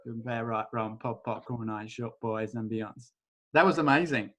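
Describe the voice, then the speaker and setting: A man leading a live online workshop over a video call, talking in a casual, rambling way and softly chanting a repeated phrase. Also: medium pitch, fairly even intonation, moderate pace. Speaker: workshop facilitator.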